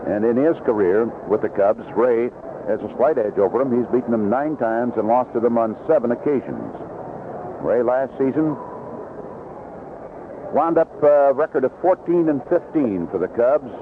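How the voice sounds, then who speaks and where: Tinny, noisy 1970s American baseball radio man. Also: mid-range voice. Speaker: baseball radio play-by-play announcer. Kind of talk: 1970s radio sports commentary. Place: outdoor ballpark broadcast. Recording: noisy narrow-band vintage radio broadcast.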